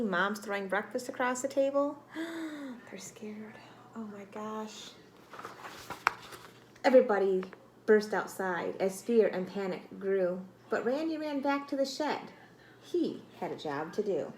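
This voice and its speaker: A woman with a medium-pitched voice reads a story aloud to children. She is expressive and theatrical, stopping to put questions to her young listeners. There is some noise behind her. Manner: expressive, dramatic, with exclamations and prompting questions. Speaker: teacher reading aloud to children.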